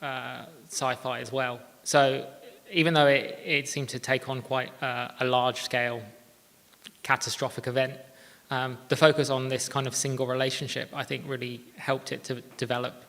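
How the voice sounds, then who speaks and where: Male judge, creaky voice, halting unscripted comments, reverberant live hall. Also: mid-range voice, flat delivery, fairly bright tone. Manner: halting, thoughtful phrasing. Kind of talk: unscripted spoken appraisal. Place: live event venue, indoors. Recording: echoey hall at a live event.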